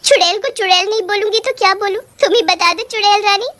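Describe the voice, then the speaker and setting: A woman's voice: very high-pitched, fast talker, a bit bright, pitched above the performer's own voice. A woman voicing a fearless, teasing village woman in a cartoon story, mocking yet matter-of-fact.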